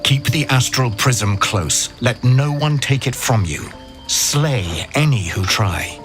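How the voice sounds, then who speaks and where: An actor playing a solemn githyanki knight and secret rebel in a fantasy game, speaking in a deliberate, formal way. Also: male voice, medium pitch, lively intonation, fairly bright tone, faint music behind. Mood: solemn, resolute. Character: grave githyanki knight, a secret rebel against his queen. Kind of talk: dramatic fantasy game acting.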